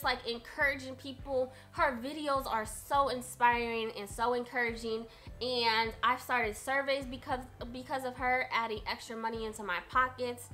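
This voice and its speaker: A woman's voice: a little high, sharp tone. A woman in her late twenties talking casually to her camera about her budget, earnest and repeating points for emphasis. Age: 20s. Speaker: budgeting vlogger.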